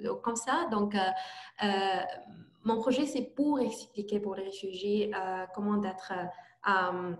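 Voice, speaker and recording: Hesitant woman on an echoey video call. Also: medium pitch. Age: young adult. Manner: hesitant, many fillers and restarts. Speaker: youth forum participant presenting her project. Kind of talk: halting presentation and answers. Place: home, remote online event.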